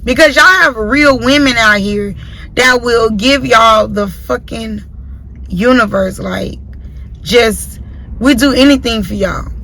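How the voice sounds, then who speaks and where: A bright-voiced woman in her late twenties talks straight at the listener from inside a car, blunt and profane, hammering each point home by saying it again. A faint hum of road noise sits under her voice. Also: a little high, low-quality recording. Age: late 20s. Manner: blunt, profane, preachy, repeats points for emphasis. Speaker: podcast host. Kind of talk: casual opinion monologue.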